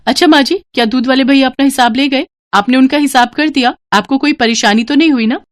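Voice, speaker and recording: A woman's voice: high-pitched, brisk, voice pushed up, natural voice. A woman voicing a kind, dutiful young daughter-in-law in a story, polite and solicitous. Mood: apologetic, caring.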